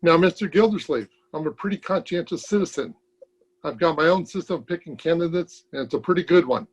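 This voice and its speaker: A man acting a husky, folksy barber. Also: a little high, fairly quick. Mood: sly. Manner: folksy, colloquial, chuckling drollery. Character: folksy, wisecracking male barber, stubborn and henpecked. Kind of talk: old-time radio comedy acting. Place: home, recorded over video call. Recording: dull, lo-fi video-call recording.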